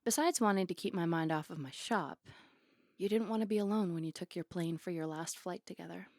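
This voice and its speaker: A woman's voice: fairly low pitch, a little fast, darkish tone, dry recording, clean studio sound, close to an everyday voice. A woman playing a flirty, playful, affectionate barista on a date in an intimate, teasing ASMR roleplay. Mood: warm, sincere.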